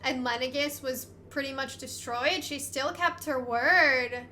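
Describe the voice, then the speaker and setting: A woman giving casual gameplay commentary. Her voice is high and very bright. There is faint noise and game sound behind her. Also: a little slow. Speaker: let's play streamer. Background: video game audio.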